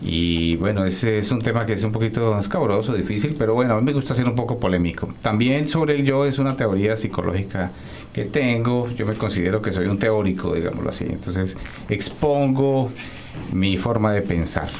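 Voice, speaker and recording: A middle-aged Colombian man answering as a guest in a radio interview, halting and correcting himself as he talks. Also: lowish voice, darkish tone, slight background noise. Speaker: guest, writer and journalist.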